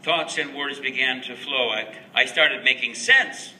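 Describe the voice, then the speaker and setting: Bright-voiced man reciting verse, roomy recording. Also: mid-range voice. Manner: dramatic, emphatic storytelling with deliberate pauses. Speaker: reader of a Christmas verse story. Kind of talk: expressive recitation of rhyming narrative verse. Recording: roomy, distant mic with faint noise.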